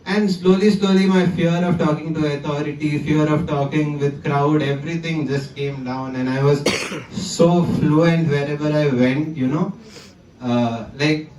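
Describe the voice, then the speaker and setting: A man's voice: a little high, fairly even intonation, a little slow, a little mellow, echoey room, distant mic, a little hiss or hum, poor audio. Young man who stammers. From South India. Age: 20s. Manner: stammers, with repeated words and many fillers. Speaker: conference speaker. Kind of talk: personal talk to an audience. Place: conference hall.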